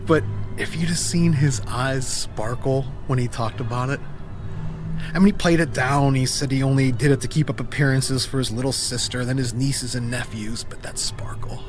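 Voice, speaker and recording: An actor playing a wistful, candid former youth pastor in a naturalistic audio drama, telling his story in a reflective, halting way. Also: medium pitch, fairly even intonation, over music, lightly acted. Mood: fond, wistful.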